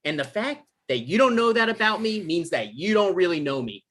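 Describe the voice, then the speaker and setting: Man playing whiny, argumentative male novelist, husky edge, quick to anger, table-read drama. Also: sits high, big pitch swings, fairly bright tone, full character voice. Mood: indignant. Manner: argumentative, exasperated. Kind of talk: live table read of a screenplay.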